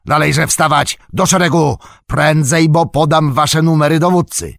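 A man's voice: a little high, measured pace, gravelly, dry recording, studio quality, pitched above the performer's own voice. A man voicing a huge, brutal orc slave-driver who barks orders, threats and taunts in a storybook reading. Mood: angry barking. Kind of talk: audiobook character voice.

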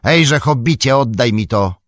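A man's voice: low voice, expressive, slow pace, breathy edge, dry recording, studio quality, exaggerated voice. A man voicing a stern, commanding old male wizard with an authoritative manner. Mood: grave. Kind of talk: audiobook character reading.

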